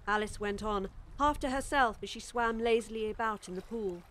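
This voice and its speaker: A woman's voice: mid-range voice, moderate pace, fairly bright tone. A woman narrating a scripted children's audiobook story.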